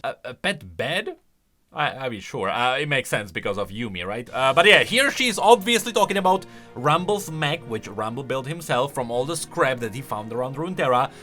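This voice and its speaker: A man's voice: medium pitch, expressive, moderate pace, sharp tone, faint music behind. A man hosting casual video game commentary, emphatic and thinking aloud as he goes. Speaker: video creator and host.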